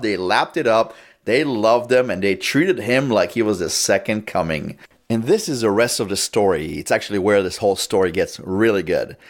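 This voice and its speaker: Brash male talk show host. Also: lowish voice. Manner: brash, teasing, lively delivery. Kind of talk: casual monologue.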